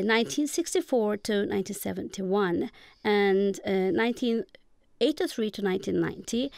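Turkish accent woman professor explaining stuff in an interview, clean smooth voice, no room sound. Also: medium pitch, no echo, studio quality. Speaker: professor, guest expert. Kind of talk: radio interview, explanatory lecture-like answers.

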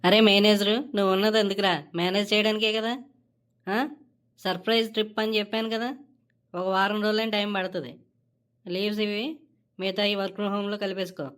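A woman's voice: medium pitch, little pitch movement, moderate pace, pauses often, a bit bright, smooth, lightly acted. A woman voicing a brash, entitled and scheming young male office worker in a comedy cartoon, talking casually and cockily. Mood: demanding, irritated. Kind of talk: Telugu comedy cartoon dialogue.

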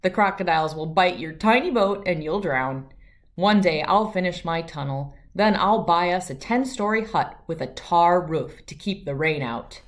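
A woman's voice: lowish voice, animated, normal speed, close to an everyday voice. A woman voicing a boastful, dreamy, lazy male gnu in a children's storybook, with a dismissive air.

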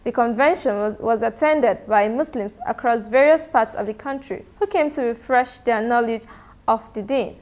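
A woman's voice: a little high, normal speed, darkish tone. A woman reporter reading scripted news narration.

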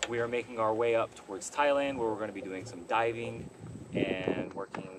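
A man's voice: fairly low pitch, steady tone, normal speed. A man casually co-hosting a sailing vlog outdoors on a boat deck, in an upbeat, easygoing way. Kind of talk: casual chat to camera. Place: outdoors on a sailboat deck on a river. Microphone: camera mic from a few feet away. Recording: wind noise, distant camera mic.

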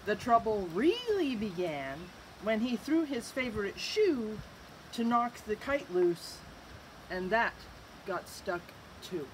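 Expressive woman reading aloud, lively pitch. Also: takes it slowly, rough recording. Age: middle-aged. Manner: expressive, amused storyteller delivery. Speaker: library storyteller. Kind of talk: read-aloud picture book narration. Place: outdoors on a covered wooden bench structure. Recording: outdoor recording with faint ambient noise.

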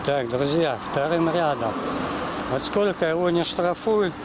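A man's voice: fairly high pitch, animated, unhurried. A man, a civic activist, firmly telling a driver he is getting a report. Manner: firm, blunt. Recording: loud street and traffic noise.

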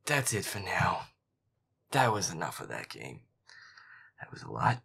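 A man muttering sarcastic, sweary gameplay commentary in a breathy, casual way, close to the mic. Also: sits low, huge pitch swings, unhurried, a bit bright. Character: feisty werewolf boyfriend playing a scary game. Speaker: gamer playing a horror game.

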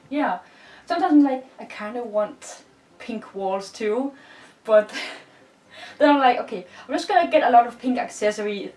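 A woman's voice: mid-range voice, a little mellow. A young woman from Denmark with a Danish accent, chatting in a bubbly, giggly, rambling way while decorating her bedroom, filmed by a camera across the room. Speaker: vlogger. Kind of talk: casual chat while decorating. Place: bedroom, indoors. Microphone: far camera. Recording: roomy, distant, with music faint behind.

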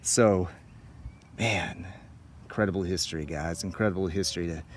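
A middle-aged man with a lowish voice narrates a travel vlog on location. He speaks straight to his viewers with enthusiasm. The handheld outdoor recording carries some light background noise. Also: normal speed. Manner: enthusiastic, addresses viewers directly. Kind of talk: on-location narration to camera about music history. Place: outdoors at a small-town baseball field. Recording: outdoor ambient noise, passing vehicles.

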